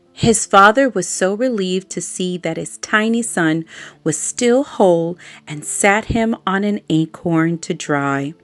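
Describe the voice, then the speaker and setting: A woman reading a children's story aloud, expressive and adding playful little asides to her listeners. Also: medium pitch, slow, no room sound. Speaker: storybook reader. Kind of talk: bedtime story read-aloud. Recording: faint background music.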